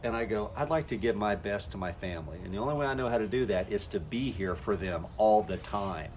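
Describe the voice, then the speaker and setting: Folksy man, low voice. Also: animated, brisk, a little mellow, slight background noise. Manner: folksy, acts out quoted conversations. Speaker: network marketing coach. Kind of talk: motivational talk to camera.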